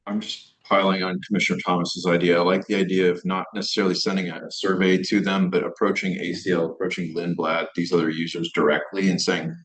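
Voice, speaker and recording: A man with a deep, rather flat voice speaks directly as a commissioner at a public meeting. He is plain and agreeable. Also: fast, few pauses, rough recording. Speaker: board commissioner.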